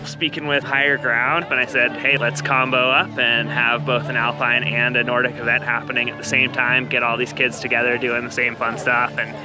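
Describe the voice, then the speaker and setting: Monotone man, matter-of-fact, loud music bed. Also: medium pitch, moderate pace, few pauses, low-quality recording. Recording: music under the voice.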